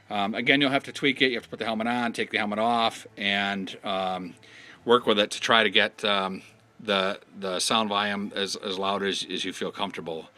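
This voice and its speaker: A man giving a casual step-by-step installation tutorial, explaining in a halting way and often trailing off. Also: fairly low pitch, pushed and bright. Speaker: product installation instructor.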